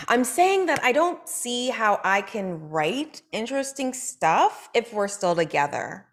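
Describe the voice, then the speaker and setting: A woman reading the part of a restless TV writer at a table read, brisk and self-justifying as she breaks up with her boyfriend. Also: a little high, very animated, a bit bright, slight background noise, exaggerated voice. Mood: defiant.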